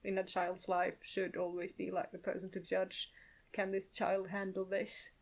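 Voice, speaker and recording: A woman's voice: mid-range voice, monotone, moderate pace. A woman co-hosting a casual gaming podcast discussion, speaking thoughtfully with frequent hedges and agreeing murmurs. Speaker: podcast co-host.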